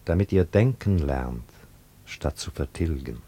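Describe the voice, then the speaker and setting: A man playing a mysterious, implacable stranger in a German radio play, measured and terse. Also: a bass voice, expressive, gravelly, warm tone, a little hiss or hum, exaggerated voice. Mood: calm, stern. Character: mysterious, calm, implacable male stranger who questions people.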